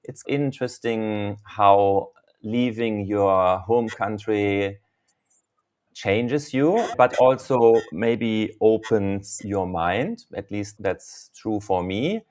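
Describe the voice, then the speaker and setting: Low-pitched German-accented man hosting. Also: drawn-out words, darkish tone. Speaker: podcast host, coach. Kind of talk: interview podcast hosting.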